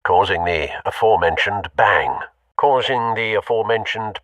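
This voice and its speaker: An actor playing a loyal older butler with a British accent in a video game, formal and dryly understated. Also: male voice, fairly low pitch, moderate pace, a bit bright, no room sound, far from the mic, natural voice. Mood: dry, attentive. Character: refined, loyal, concerned older butler man.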